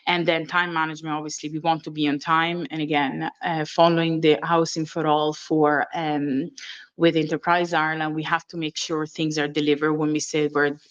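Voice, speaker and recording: A woman with an Italian accent speaks in a low register. She presents in an informal professional style, hesitating and correcting herself as she goes. The recording is slightly muffled and lo-fi. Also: little pitch movement, normal speed. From Italy. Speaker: training and development manager presenting. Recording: dull, lo-fi audio.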